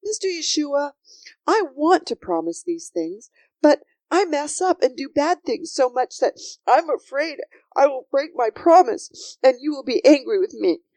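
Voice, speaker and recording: Woman voicing an eager, high-voiced little girl. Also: sing-song, a little slow, a little mellow, dry recording, exaggerated voice, rough recording. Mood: anxious. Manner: breathless, earnest, polite. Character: eager, earnest little girl, shy and awed. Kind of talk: children's storybook character voice.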